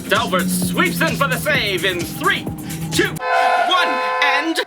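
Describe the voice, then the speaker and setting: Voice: big pitch swings, slow pace, over loud music, exaggerated voice. An actor playing a pompous, exacting alien director with a thousand legs, barking sarcastic commands in a comedic sci-fi audio drama. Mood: commanding. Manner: theatrical, imperious, sarcastic, booming commands.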